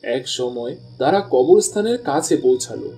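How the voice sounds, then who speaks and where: Mellow male cartoon story narrator. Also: mid-range voice, expressive, normal speed, soft background music.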